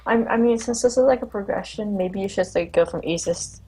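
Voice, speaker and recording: A man's voice: sits high, a little fast, a little mellow, slightly rough, rough recording. A male co-host chatting casually over a video game, playful and chiming in with jokes. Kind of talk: casual let's-play chat.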